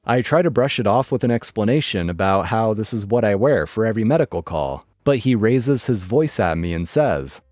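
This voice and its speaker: A man narrating online stories in a lively, dry storytelling manner. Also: lowish voice, brisk, few pauses, dry recording.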